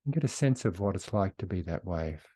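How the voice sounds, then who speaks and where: A man leading a guided meditation in a soothing, unhurried way with long pauses between phrases. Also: low voice, expressive, warm tone, no room sound.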